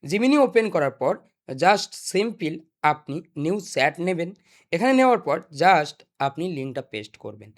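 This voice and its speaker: A young man guides listeners step by step as a tutorial presenter. His voice sits high for a man and moves up and down in an animated way. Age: young adult. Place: small indoor desk studio. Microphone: desk microphone.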